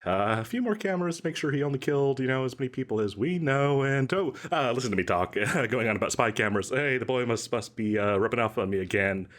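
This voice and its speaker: A man's voice: mid-range voice, animated, fast, hardly any pauses, a bit bright, lightly acted. Man acting a swooping, over-eager shady salesman. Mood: chatty, flustered. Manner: rambling, jittery, chuckling, hesitant asides. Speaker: voice actor performing a scripted audio roleplay. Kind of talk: comedic audio roleplay monologue.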